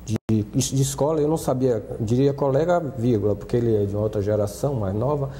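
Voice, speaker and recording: Brazilian guy from salvador on a tv talk show, very dark mellow voice, earnest, trips over words a lot, reverby studio. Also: mid-range voice, quick pace, a little hiss or hum. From Brazil, born in Salvador. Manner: earnest, speechlike, with restarts. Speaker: studio guest, former student union adviser. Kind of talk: TV interview talk.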